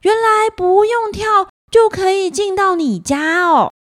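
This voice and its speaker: A woman's voice: very high voice, big pitch swings, slow, no echo, studio quality, exaggerated voice. A woman voicing a jolly, blunt young dodo bird, exclaiming and joking, in a children's story. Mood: surprised relief.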